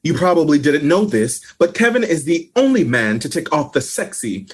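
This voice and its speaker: A dramatic life coach, played by a man in an audio comedy. His voice is fairly high and very animated, with grandiloquent phrasing and emphatic enunciation. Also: moderate pace, husky. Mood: indignant.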